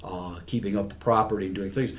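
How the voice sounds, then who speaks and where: A man's voice: on the low side, steady tone, quick pace, a little hiss or hum. A man in his sixties giving patient, conversational explanations with everyday examples, as an expert guest in an interview. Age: 60s.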